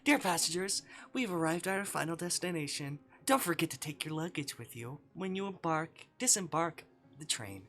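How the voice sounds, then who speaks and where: A man's voice: a little high, animated, moderate pace, a bit bright, voice pushed up. A man voicing a polite, smiling train crew member giving a formal, cheery announcement in a visual novel. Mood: cheerful, polite.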